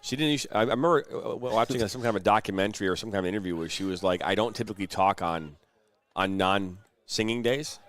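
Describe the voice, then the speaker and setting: A man's voice: fairly low pitch, quick pace, a bit bright. A man calling a youth soccer match play-by-play, chatty and explaining referee decisions at length. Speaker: play-by-play commentator. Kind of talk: sports commentary. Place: commentary booth at soccer complex.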